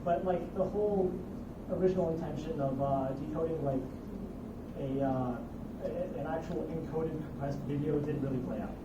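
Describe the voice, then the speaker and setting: Young male student presenter, high register, halting technical explainer, roomy distant sound, heavy noise. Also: muffled recording. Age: young adult. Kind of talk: informal technical demo presentation. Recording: noisy roomy capture from far off.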